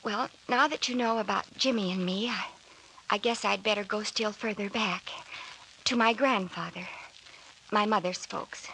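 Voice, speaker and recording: A woman's voice: medium pitch, normal speed, gravelly, bright, forceful tone. An actress playing an earnest, hesitant young woman telling her story politely in an old-time radio drama. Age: young adult. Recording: noisy old radio recording.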